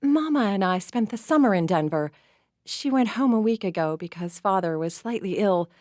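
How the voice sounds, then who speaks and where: A woman voicing a poised young society woman who speaks in a sweet, deliberate, cultured way. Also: mid-range voice, animated, darkish tone, no echo, studio quality, natural voice.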